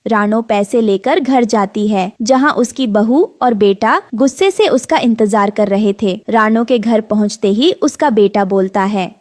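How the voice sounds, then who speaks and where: A woman's voice: medium pitch, a little slow, hardly any pauses, darkish tone, no room sound, clean studio sound. A woman giving scripted storytelling narration for a moral cartoon.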